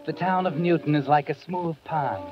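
An actor playing a sardonic, all-knowing narrator of a 1940s radio mystery, insinuating and theatrical. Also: male voice, on the high side, moderate pace, lightly acted. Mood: knowing, menacing. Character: sardonic, omniscient, sinister narrator addressing the villainess. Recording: muffled 1940s radio recording. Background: dramatic music.

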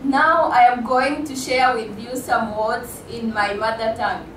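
A young woman from Rwanda whose first language is Kinyarwanda gives a prepared speech at a college event into a stand mic. She speaks carefully, with frequent pauses and fillers. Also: on the high side, fairly even intonation, fairly bright tone. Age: young adult. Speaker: student speaker. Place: stage in a college hall. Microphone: stand mic in front of her. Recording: echoey hall, from a distance, with hum.

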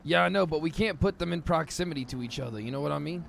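A man's voice: fairly high pitch, fast talker, creaky. A man streaming a role-play game, chatting casually with profane, teasing banter. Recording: game car and street noise.

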